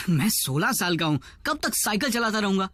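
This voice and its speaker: A man's voice: sits high, very animated, a bit bright, no room sound, full character voice. A nervous, chatty teen boy. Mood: pleading. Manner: stammering, fast, eager. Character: 16-year-old boy, nervous, talkative. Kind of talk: Hindi cartoon dub. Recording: dubbed with music underneath.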